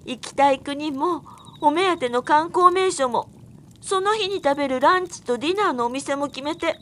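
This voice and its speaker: An actress plays a girlish young woman in a radio drama, earnest and heartbroken. Her voice is extremely high and plaintive, over faint forest ambience. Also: animated, normal speed, a bit bright, a little hiss or hum. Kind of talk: audio drama acting.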